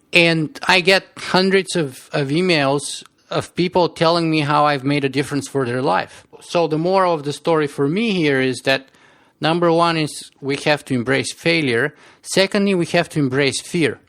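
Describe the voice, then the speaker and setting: A man's voice: a little high, fairly bright tone. A man with a strong Bulgarian accent, a podcast guest telling his story in an earnest interview manner, often repeating words as he restarts.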